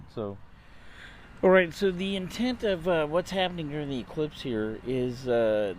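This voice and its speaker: A man giving an unscripted explanatory amateur radio vlog, speaking haltingly with frequent pauses mid-sentence. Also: mid-range voice. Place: outdoors. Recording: clear outdoor ambient noise.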